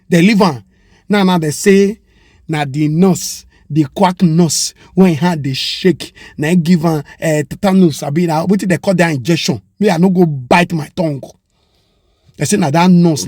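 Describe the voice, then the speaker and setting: A Nigerian man speaks in a high voice with a Pidgin English accent, close to the mic in a dry, dead space. He argues with passion, throwing out rhetorical questions and switching into Yoruba now and then. It sounds like an opinion monologue. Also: no room sound. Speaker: commentator.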